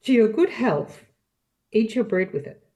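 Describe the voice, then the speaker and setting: A woman's voice: a little high, expressive, a little slow, warm tone. A woman playing a kindly, hospitable old nurse in an amateur play reading, simple and solicitous. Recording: dull, distant microphone.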